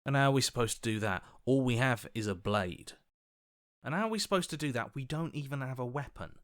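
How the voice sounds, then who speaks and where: An earnest hero speaking as an anxious inner conscience in a video game, played by a man. His mid-pitched voice is conversational, hesitant and wry. It is recorded very dry. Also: animated, brisk, fairly bright tone, no room sound, natural voice. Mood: resigned, exasperated. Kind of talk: dramatic video game voice acting.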